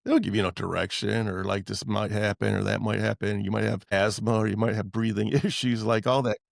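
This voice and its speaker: Guy hosting a podcast, lots of fry in his voice, dry sound, talks plain and casual. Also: lowish voice, flat delivery, a little fast, no echo. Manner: plain-spoken, informal. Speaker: podcast host, veteran. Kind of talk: casual conversational interview.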